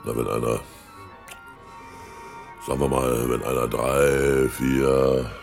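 A man's voice: a bass voice, level tone, slow, gravelly, faint music behind, full character voice. An actor playing a chummy, haggling old carnival showman in a radio play, folksy and sly.

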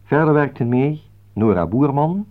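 A man's voice: mid-range voice, lively intonation, measured pace. A man working as a radio announcer, reading out scripted play credits. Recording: thin, phone-like old radio recording.

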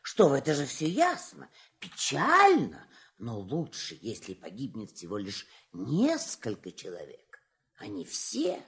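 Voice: medium pitch, huge pitch swings, measured pace, vocal fry, a bit bright, heavily acted. An actor playing a fawning, gossipy court councillor in a fairy-tale audio play, with exaggerated, mock-sorrowful sing-song. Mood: oily regret.

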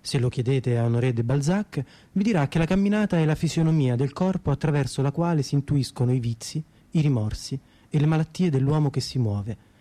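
An actor playing a whimsical poet, reciting surreal soliloquies for radio theatre with deliberate, declamatory phrasing over plucked guitar. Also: male voice, medium pitch, warm tone, close to an everyday voice. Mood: wry, playful.

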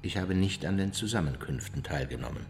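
An actor playing an older Nazi war criminal under interrogation in a radio drama, giving terse, evasive, defiant denials. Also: male voice, low-pitched, lively intonation, fairly quick, darkish tone, soft background music. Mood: evasive, guarded. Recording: reverberant interrogation room.

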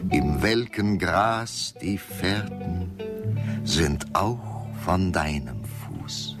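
Very slow, deep, solemn verse reciter. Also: male voice, animated, heavily acted. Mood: dreamy, solemn. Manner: deliberate, lyrical. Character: solemn, dreamy reciter of nostalgic frontier verse. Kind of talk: poetry recitation in a radio drama. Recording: loud plucked guitar music behind.

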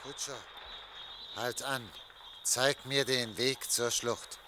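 An actor playing a weary, wistful middle-aged official lost in memories, dreamy and theatrical, in a German radio drama. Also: male voice, medium pitch, steady tone, slow pace, background noise, natural voice.

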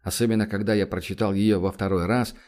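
A man's voice: on the low side, fairly even intonation, fast, a little mellow. A man reading a first-person novel aloud as an audiobook narrator, with a wry, confiding storytelling manner.

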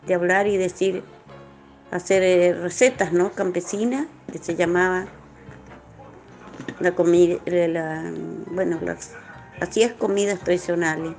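A woman's voice: fairly low pitch, steady tone, measured pace, long pauses, a little mellow, faint music behind. A woman talking informally and reflectively, in an earnest, rambling way. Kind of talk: informal reflective interview talk.

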